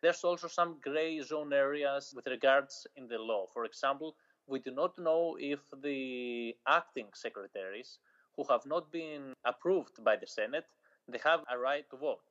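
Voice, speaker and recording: A man from Greece with a Greek accent, a journalist giving an interview, speaking in short measured phrases with pauses. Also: medium pitch, a bit bright. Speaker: journalist guest. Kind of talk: interview answers, eyewitness account. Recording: slightly dull lo-fi audio.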